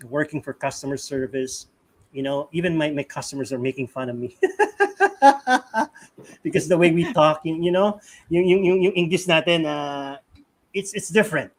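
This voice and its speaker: A man's voice: a little high, moderate pace, pauses often. A man from the Philippines with a Filipino accent, telling personal stories conversationally as an interview guest, often chuckling. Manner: frequent chuckling, animated retelling with acted-out quotes.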